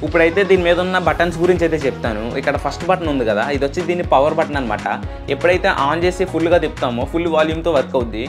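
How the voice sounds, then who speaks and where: A man's voice: fairly high pitch, animated, racing. A man chatting casually and explaining things as he hosts an unboxing and product demo. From India. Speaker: video host. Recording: loud music behind the voice. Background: loud music.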